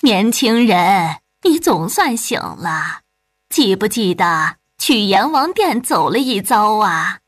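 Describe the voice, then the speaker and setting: A man voicing a kindly old country woman who fusses and gently teases in drawn-out speech, in an audiobook. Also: high-pitched, sing-song, no room sound, clean studio sound, voice pushed up, exaggerated voice. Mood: caring. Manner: slow, drawn-out, fussing speech. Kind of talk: audiobook character acting.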